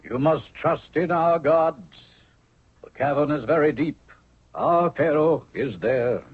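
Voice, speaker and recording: Casting: devout, timorous temple high priest, very raspy mid-range voice, tinny old radio drama. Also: male voice, takes it slowly. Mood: solemn. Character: fearful, pious high priest guarding a tomb. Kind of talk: 1970s radio drama acting. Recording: thin, band-limited vintage radio recording.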